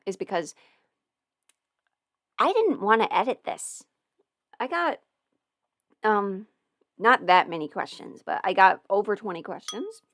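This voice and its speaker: A woman's voice: mid-range voice, no echo, clean studio sound. A woman casually rambling as a livestream host, hesitant, interrupting herself and thinking aloud as she goes. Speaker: livestream host, audio roleplay creator.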